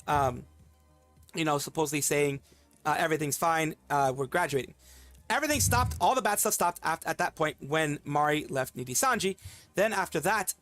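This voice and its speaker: A man's voice: a little high, a bit bright, no room sound. A man streaming commentary, reading a document aloud and stopping often to comment, with fillers and restarts. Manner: fast, halting, with frequent fillers and restarts.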